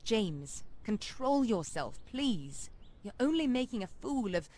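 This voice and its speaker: Haughty, slow-speaking vampire noblewoman. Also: a little high, big pitch swings, darkish tone, heavily acted. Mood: exasperated scorn. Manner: haughty, deliberate, sardonic. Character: ancient noble female vampire, imperious, mocking, cold. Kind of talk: dramatic RPG voice acting.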